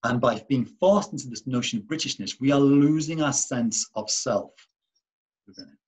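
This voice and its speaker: A man's voice: mid-range voice, normal speed, darkish tone, breathy edge. A man giving an artist talk over slides, hesitant and full of restarts and fillers. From Wales.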